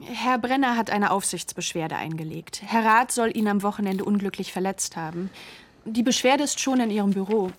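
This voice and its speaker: Actress as a courteous, discreet police secretary. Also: female voice, mid-range voice, normal speed, lightly acted. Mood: polite, tactful. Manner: courteous, businesslike. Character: polite, discreet female police office secretary. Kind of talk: radio drama acting.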